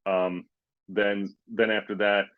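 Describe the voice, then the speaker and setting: A man's voice: on the low side, little pitch movement, takes it slowly, no echo. A man, a former pro basketball player, telling rambling stories in a casual interview, full of fillers and quoting himself. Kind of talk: casual storytelling. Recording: dull, dry lo-fi sound.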